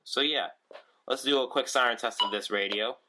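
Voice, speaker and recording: A man's voice: mid-range voice, normal speed, background noise. A man casually showing his weather radio collection on video, rambling with lots of ums and restarts. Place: room at home with shelves of radios.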